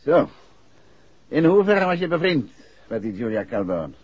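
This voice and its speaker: An actor playing a calm, probing amateur detective in a 1960s radio drama, questioning briskly and with control. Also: male voice, medium pitch, husky, no echo. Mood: probing, firm. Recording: muffled, noisy 1960s radio recording.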